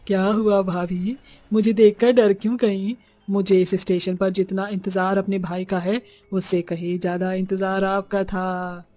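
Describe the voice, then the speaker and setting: A woman voicing a vengeful, taunting witch ghost in an animated horror story. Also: medium pitch, measured pace, close to an everyday voice. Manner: taunting, menacing. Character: vengeful female witch, ghost of a wronged young seamstress.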